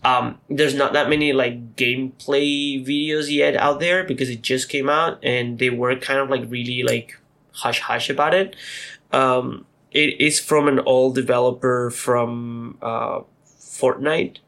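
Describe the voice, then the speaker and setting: Gravelly, bright-voiced man chatting casually. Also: medium pitch, steady tone, unhurried. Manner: rambling, filler-heavy, playful teasing. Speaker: podcast co-host. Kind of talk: casual chat.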